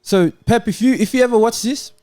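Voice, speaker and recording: A man's voice: very high-pitched, brisk, warm tone, no room sound. A man co-hosting a football podcast with a plaintive, melodramatic delivery, doing a mock-sad comic monologue to his friends. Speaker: podcast co-host.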